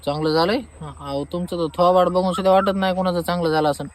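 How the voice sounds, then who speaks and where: A man's voice: sits high, steady tone, fast talker, natural voice. A man playing an aggrieved, sarcastic farmer with a rural Maharashtrian Marathi accent, complaining in a comic phone-call skit. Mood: indignant, mocking. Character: aggrieved, sarcastic male farmer demanding refund.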